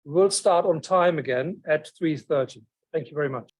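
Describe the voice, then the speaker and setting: Man running a lecture session, pretty high voice, echoey hall, a bit far from the mic. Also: normal speed, a little mellow. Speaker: chair of the session. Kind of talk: introducing speakers.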